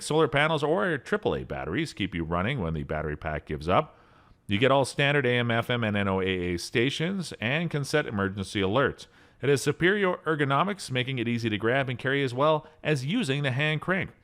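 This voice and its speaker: A man narrating a scripted voice-over rundown of emergency radios, reading spec lists at a steady pace and lifting his voice on each product name. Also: medium pitch.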